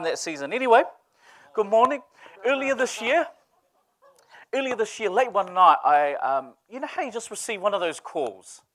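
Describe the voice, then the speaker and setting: A man from Samoa, speaking, gives a chatty, story-driven sermon. His voice sits high and he jokes and laughs freely with the congregation. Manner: joking, animated, laughs with the room. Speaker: preacher.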